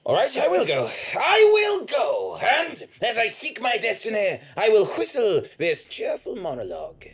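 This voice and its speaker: A man playing a cocky, bumbling feudal soldier on a quest in a live comedy stage play, halting and theatrical. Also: high-pitched, huge pitch swings, heavily acted. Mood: boastful. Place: small theatre stage with an audience. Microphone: handheld or stand stage microphone.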